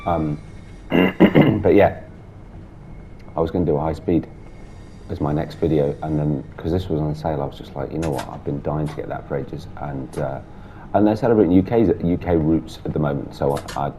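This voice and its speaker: Man, very deep and gravelly, blocked-up and hoarse, casual muttering sim-driving commentary over a faint background hiss. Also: moderate pace, dark tone. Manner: chatty, muttering through cab controls. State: sore throat, blocked nose. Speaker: gameplay streamer.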